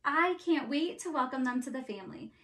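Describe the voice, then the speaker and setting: A woman's voice: sits high, lively intonation, fairly quick, fairly bright tone. A woman reading as a bubbly little snowman. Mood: cheerful. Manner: bubbly, eager, affectionate. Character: cheerful, huggy little male snowman.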